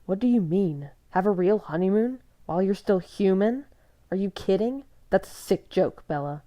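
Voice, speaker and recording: A woman voicing a hotheaded young werewolf man who is blunt, mocking and quick to rage in a storybook reading. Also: low-pitched, warm tone. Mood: furious. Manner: blunt, mocking, then furious.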